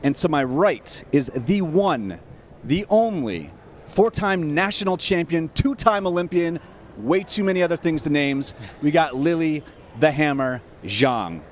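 A man's voice: on the high side, animated, a little slow, slight background noise. A young man hosting a live pregame show on a handheld mic at a tournament studio desk, upbeat and hyped as he introduces guests. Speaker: host. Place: studio set at a convention center.

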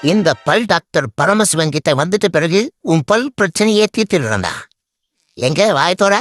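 A man's voice: high-pitched, lively intonation, moderate pace. A man voicing a cheeky, boastful male animal in a comic dub, with colloquial, mock-dramatic delivery. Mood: grumbling. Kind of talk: comic dubbing of funny animal clips. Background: music bed.

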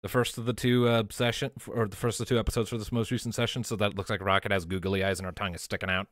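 A man's voice: on the low side, flat delivery, very fast, dry recording, studio quality. A man hosting a casual podcast chat as game master, talking animatedly and swearing casually.

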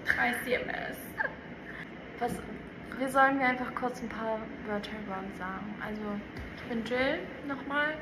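A woman's voice: fairly high pitch, normal speed, background noise. Student vlogger in her twenties, casual and giggly, filmed from a few feet away in a roomy hall. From southwestern Germany. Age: 20s. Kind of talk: casual chat to camera. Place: large indoor hall with stone columns.

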